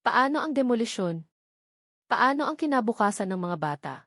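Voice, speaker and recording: A woman reading a scripted love story as narrator in a dramatic, measured manner. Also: fairly high pitch, very animated, a bit bright, no echo, studio quality.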